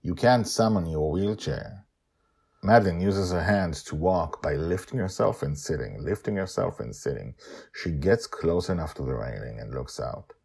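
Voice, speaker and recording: A man hosting and telling a serial fantasy story, with emphatic short phrases and dramatic pauses. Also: extremely low pitch, animated, gravelly, darkish tone, slightly rough. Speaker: storyteller and podcast host.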